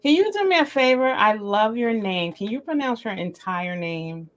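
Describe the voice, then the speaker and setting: A woman's voice: medium pitch, animated, moderate pace. A woman hosting a podcast interview asks her questions in an enthusiastic, effusive way. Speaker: podcast host.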